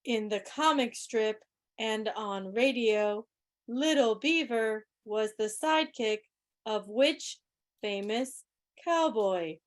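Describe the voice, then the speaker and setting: A woman with a fairly high voice hosts a quiz on a video call. She takes her time with each phrase, deliberate and teacherly, with a playful touch. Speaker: quiz host. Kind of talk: club quiz hosting, reading questions aloud.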